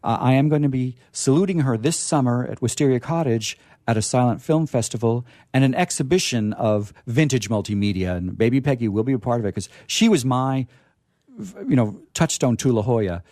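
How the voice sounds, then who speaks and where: A man's voice: medium pitch, brisk, darkish tone, no echo, studio quality. A middle-aged man hosting a radio theater show, telling stories casually and theatrically, repeating phrases for emphasis. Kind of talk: casual chat and storytelling.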